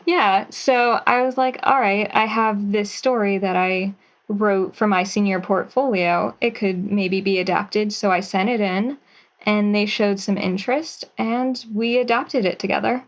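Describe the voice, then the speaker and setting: A young woman talks easily and brightly about her work as a guest, laughing now and then. Her voice sits in a middle range, and the recording is somewhat muffled. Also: medium pitch, steady tone, unhurried. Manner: chatty, upbeat, with light laughter. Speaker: guest writer and actress. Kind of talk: casual interview.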